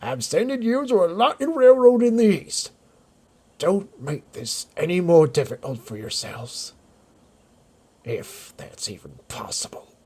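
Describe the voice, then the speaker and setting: A man as a high, creaky, stern manager. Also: expressive, unhurried, a little mellow, full character voice. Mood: exasperated. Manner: authoritative, scolding. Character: stern, exasperated male logging company manager.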